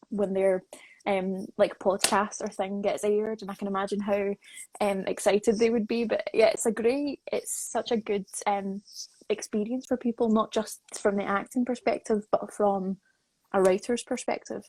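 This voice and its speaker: A woman's voice: mid-range voice, flat delivery, moderate pace, darkish tone, poor audio. A woman hosting a casual online interview in an enthusiastic, informal way. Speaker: interviewer, associate producer. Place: live social media video call.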